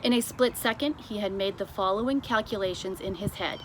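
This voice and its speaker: A woman reading a children's story aloud as scripted narration, with deliberate, expressive phrasing. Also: mid-range voice. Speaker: storybook reader. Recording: clearly noisy amateur recording.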